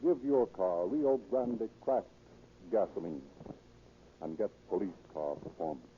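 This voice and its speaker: A man's voice: lowish voice, big pitch swings, measured pace. A man narrating as a formal, declamatory announcer in 1930s scripted radio style. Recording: muffled, noisy 1930s radio recording.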